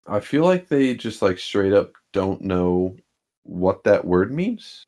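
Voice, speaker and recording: A man's voice: deep voice, very animated, slow, mellow. A man co-hosting a casual sports podcast, laid-back with dry humor and a habit of chuckling as he talks. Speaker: podcast co-host.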